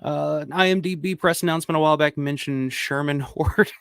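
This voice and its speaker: Hoarse, gravelly man rambling on a livestream. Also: a little high, brisk, fairly bright tone, no echo, studio quality. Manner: rambling, profane, frequent restarts; reads out viewer comments. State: hoarse from a cold. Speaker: livestream host. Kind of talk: casual livestream chat.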